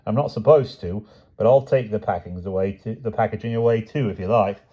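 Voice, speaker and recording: A man's voice: on the low side, a little fast, dark tone, breathy edge, muffled recording, pitched above the performer's own voice. A male narrator voicing a chatty, breezy delivery van driver.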